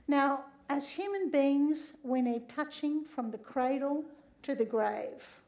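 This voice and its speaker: A woman, giving a lively talk on a theatre stage. Her voice is a bit croaky, and she keeps checking in with the audience with a quick "right?". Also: sits high. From Australia. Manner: conversational, often tags lines with "right?". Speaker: speaker giving a public talk. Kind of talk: lively public lecture.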